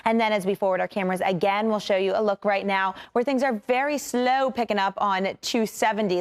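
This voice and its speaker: A woman with an American accent delivering a live TV traffic report as the show's reporter. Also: mid-range voice.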